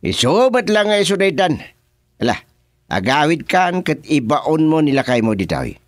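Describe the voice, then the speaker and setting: A man's voice: fairly high pitch, big pitch swings, normal speed, dry recording, clean studio sound. An actor with an Ilocano Filipino accent playing a stern, dismissive village chairman who scolds and mixes in English, in a comedy radio drama. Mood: stern, scolding. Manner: scolding, mixes in English, repeats words for emphasis.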